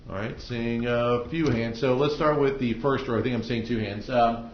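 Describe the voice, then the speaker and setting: A man's voice: medium pitch, fairly even intonation, moderate pace, slight background noise. Casting: American man as judge, hesitant with many uhs, explaining procedure, echoey and muffled courtroom audio. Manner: hesitant, frequent ums and uhs. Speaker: judge presiding over jury selection. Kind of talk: semi-formal courtroom instructions to jurors. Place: indoor courtroom. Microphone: room microphones in the ceiling. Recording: dull, echoey courtroom microphone pickup.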